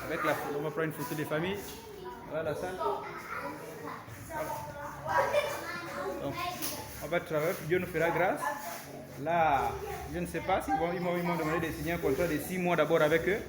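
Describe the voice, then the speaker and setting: A man coaching self-defense, speaking casually and haltingly to his followers from a karate dojo and repeating words as he goes. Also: fairly high pitch. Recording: loud crowd noise behind. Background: crowd of young students.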